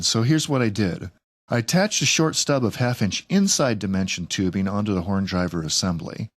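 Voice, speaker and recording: A man's voice: mid-range voice, no room sound. A man presenting a casual DIY tutorial in a plain-spoken, lightly wry way. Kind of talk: casual how-to narration.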